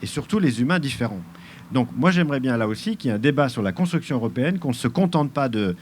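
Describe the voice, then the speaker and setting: A man's voice: mid-range voice, lively intonation, fast, a little hiss or hum. A man on a debate panel, speaking earnestly and at length. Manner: earnest, long-winded.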